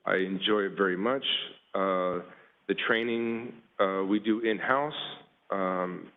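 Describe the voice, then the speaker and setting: Man panelist, lowish voice, halting with fillers, phone-quality audio. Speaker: panelist, water utility worker. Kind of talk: presentation with slides, casual. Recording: tinny phone-like line.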